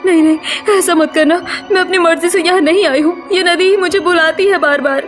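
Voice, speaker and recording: Woman as a plaintive, very high young villager. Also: fairly even intonation, a little fast, music in the background. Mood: frightened. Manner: pleading, plaintive. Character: anxious, pleading pregnant young village woman.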